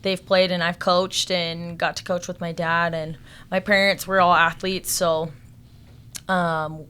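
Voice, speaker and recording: American woman, thirties, lowish pitch, very bright and crisp, casual podcast guest. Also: level tone, a little slow. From Salt Lake City area, United States. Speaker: podcast guest, personal trainer and coach. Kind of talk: casual chat.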